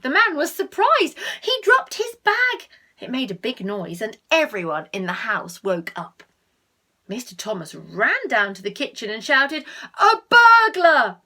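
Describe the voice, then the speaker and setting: A woman reading a picture book aloud to children, expressive, with asides to the listeners and hushed tones for effect. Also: a little high, unhurried. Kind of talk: animated read-aloud with asides to young listeners.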